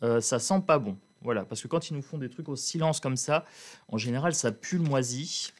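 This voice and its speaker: A man's voice: mid-range voice, normal speed. A man giving a casual, outspoken card reading to his viewers, drawling with many fillers and restarts. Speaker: card reader. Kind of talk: casual tarot reading to camera.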